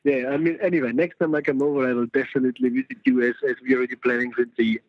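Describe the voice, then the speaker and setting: A man's voice: mid-range voice, steady tone, rapid-fire, no room sound. Man on a dull, dry phone line. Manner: hesitant, many fillers and restarts. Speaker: phone-in guest, goalkeeping coach educator. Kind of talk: casual phone interview.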